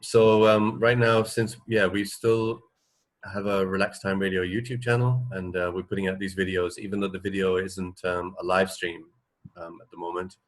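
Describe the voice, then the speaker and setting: A man's voice: low-pitched, level tone, normal speed. A man co-hosting a radio show, chatting casually in a hesitant, rambling way. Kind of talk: casual chat.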